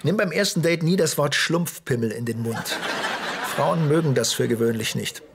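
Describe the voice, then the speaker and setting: A man's voice: fairly high pitch, expressive, normal speed, gravelly, noisy background. An actor playing a father who narrates memories of his youth to his son in a wry storytelling way. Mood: wry, nostalgic. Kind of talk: scripted sitcom narration. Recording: music behind dubbed TV audio.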